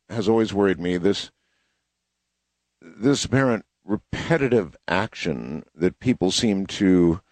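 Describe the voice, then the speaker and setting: A man hosting a late-night call-in radio show, musing slowly on callers' stories. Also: on the low side, darkish tone, no room sound. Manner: measured, musing, takes calls. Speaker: radio talk show host.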